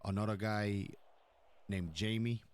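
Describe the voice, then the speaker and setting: A man's voice: on the low side, fairly even intonation, unhurried. A man improvising a mafia boss in a role-play, talking conversationally in a profane, rambling, explanatory way. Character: mafia boss, profane, laying down the crew's hierarchy. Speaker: role-play streamer playing a crime boss.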